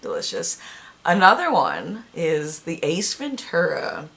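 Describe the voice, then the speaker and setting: A young woman with a raspy voice, chatting casually and gushing about her favorite movies, thinking aloud as she goes. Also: on the low side, wildly swooping pitch, takes it slowly, fairly bright tone. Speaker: vlogger.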